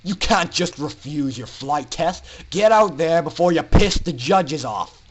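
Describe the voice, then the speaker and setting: A stern pony flight instructor, acted by a man in a dramatic storybook reading. He barks loud, clipped commands with a cold, menacing authority. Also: fairly high pitch, animated, a little slow, a little hiss or hum. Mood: angry, menacing. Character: stern, callous male flight instructor pony barking orders.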